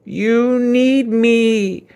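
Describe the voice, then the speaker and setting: Man voicing a slow, high, creepy possessive crayon. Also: fairly even intonation, darkish tone, clean tone, heavily acted. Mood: menacing demand. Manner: slow, drawn-out demands. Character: creepy sentient purple crayon, menacing, possessive.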